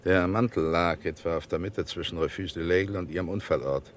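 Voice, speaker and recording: An actor playing a probing, self-assured private investigator in a radio crime drama, speaking in a dry, measured way. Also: male voice, low-pitched, steady tone, slight background noise, lightly acted. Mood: firm, matter-of-fact.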